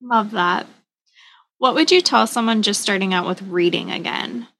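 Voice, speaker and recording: A woman's voice: medium pitch, normal speed, creaky, fairly bright tone. A woman asking casual, conversational questions as an interviewer.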